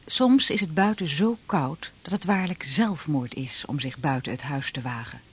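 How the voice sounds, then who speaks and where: Husky male radio drama narrator. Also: on the high side, expressive, normal speed.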